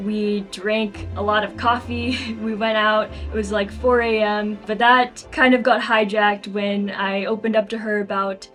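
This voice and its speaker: Young female author in interview, mid-pitched voice, conversational storytelling, music underneath. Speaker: author and YouTuber being interviewed.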